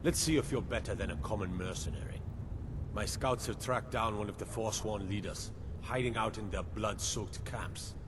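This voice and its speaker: A man's voice: lowish voice, normal speed, background noise, lightly acted. An actor voicing a stern, proud male ruler in a video game, clipped and commanding. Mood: dismissive.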